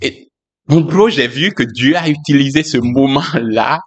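A man, a pastor, sharing a personal testimony in a reflective, hesitant way with frequent pauses and fillers. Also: mid-range voice.

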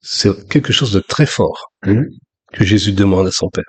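A man from France in his sixties, preaching a sermon in a relaxed, conversational way with frequent restarts. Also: fairly low pitch, normal speed, breathy edge. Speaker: pastor.

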